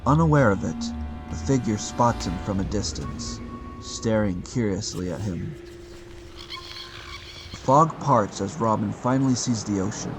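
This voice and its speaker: A man with a fairly low voice reads scripted narration for an adventure audio drama. He tells the story as narrator, with very loud music running beneath his words. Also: lively intonation, unhurried, low-quality recording.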